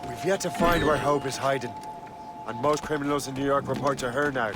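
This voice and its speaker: An actor playing a grim, determined Irish turncoat assassin with dry wit in a video game. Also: male voice, mid-range voice, steady tone, fairly quick, natural voice. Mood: concerned, frustrated. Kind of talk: video game character acting. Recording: game audio over outdoor ambience noise.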